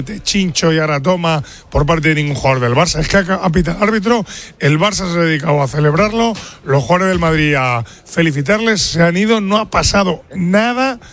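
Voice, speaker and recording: Football radio reporter, male, Spain accent, voice sits a little high, spontaneous match-night talk. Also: fairly bright tone. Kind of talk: lively unscripted sports talk.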